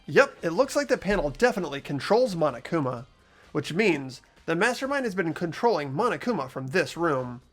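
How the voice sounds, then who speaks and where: Lively game playthrough reader. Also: medium pitch, fairly quick, soft background music. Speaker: playthrough host reading a character's part. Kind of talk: video game playthrough, dramatic read-aloud with casual asides.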